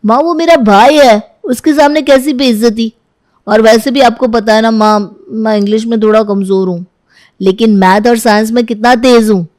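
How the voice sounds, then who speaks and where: A woman's voice: a little high, fairly even intonation, moderate pace, darkish tone, close to an everyday voice. A woman voicing an earnest schoolboy who defends himself to his mother in a moral story. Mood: defensive.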